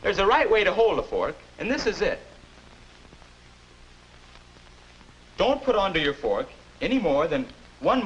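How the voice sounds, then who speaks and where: Lively, upbeat young 1950s mentor. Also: male voice, high voice, dull audio. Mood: instructive. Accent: American. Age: 20s. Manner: instructive, encouraging, neatly spoken. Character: patient, upbeat 21-year-old older self mentoring a boy. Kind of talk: 1950s educational film acting. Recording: noisy old 1950s film soundtrack.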